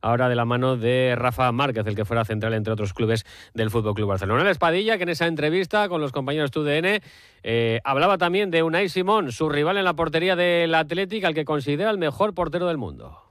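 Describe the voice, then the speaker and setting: A man reporting sports news in a fluent, steady way. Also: a little high, fast talker, no room sound. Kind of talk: news commentary.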